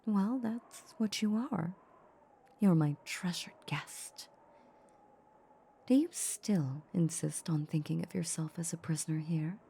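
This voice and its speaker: Female vampire played by a woman, possessive and teasing; unhurried, dark breathy tone, intimate audio drama. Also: on the low side, heavily acted. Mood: taunting, possessive. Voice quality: breathy, often half-whispered. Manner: slow, teasing, condescending purr. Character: seductive, possessive female vampire, teasing her human captive. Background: soft ambient sound effects.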